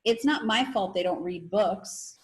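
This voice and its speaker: Storybook girl, read by a woman: sharp-minded, prickly, blunt, ready to stand her ground. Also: mid-range voice, measured pace, natural voice. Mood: dry, blunt. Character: blunt, feisty, smart girl with a prickly temper.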